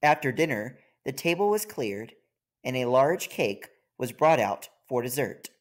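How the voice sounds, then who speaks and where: A man reads a children's story aloud as narrator. He takes his time, and his pitch moves expressively up and down through each sentence. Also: lively intonation, a little slow. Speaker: storybook reader.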